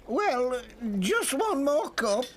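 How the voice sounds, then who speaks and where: A woman's voice: high voice, big pitch swings, very slow, slight background noise, heavily acted. A woman voicing a doddery, easily flustered elderly granny in a children's cartoon. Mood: mild, grateful. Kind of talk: children's cartoon character acting.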